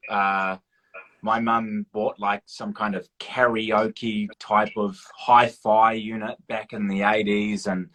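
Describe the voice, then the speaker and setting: A man with a slightly low voice talks as a guest in a casual interview. He is modest and informal, hesitating as he works out what to say. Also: flat delivery, slow, a bit bright, low-quality recording. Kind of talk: casual chat.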